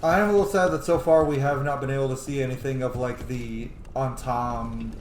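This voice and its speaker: A man rambles casually through a video game playthrough in a roomy, echoing space, with clear noise behind him. He is playful and sweary, chatting to himself and to his viewers in a mid-pitched voice. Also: steady tone, a little fast. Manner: playful, profane, talks to himself and his audience. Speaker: gamer hosting a playthrough. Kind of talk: casual rambling gameplay commentary. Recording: roomy echo, noisy game sound behind. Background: video game sound.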